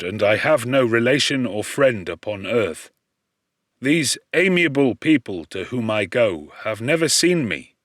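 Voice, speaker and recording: Audiobook role: male actor as forlorn monster, humble and anxious, formal pleading speech, deliberate pace, dry close sound. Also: mid-range voice, a bit bright, dry recording. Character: lonely outcast male creature, humble, anxious, eloquent. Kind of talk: audiobook character voice.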